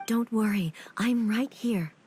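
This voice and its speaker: A woman playing a solemn, reassuring warrior ruler in a video game, speaking in a calm, formal and measured way. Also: fairly high pitch, husky, full character voice. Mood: calm reassurance.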